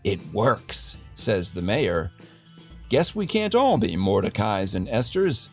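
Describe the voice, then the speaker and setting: A man's voice: fairly low pitch, huge pitch swings, slow, soft background music, exaggerated voice. A man playing a wry, defiant news host in a dystopian future city, delivering a satirical scripted newscast in a theatrical, mock-grand way. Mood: wry newsreading.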